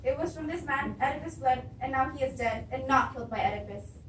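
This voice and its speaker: A woman plays an anxious queen in a classical tragedy, formal and declamatory. Her voice is high, recorded at a distance with clear background noise. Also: brisk, natural voice. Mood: assured. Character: anxious queen, devoted wife, pleading against dread. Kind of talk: beginning drama class readers theatre. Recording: echoey room, distant mic, clearly noisy.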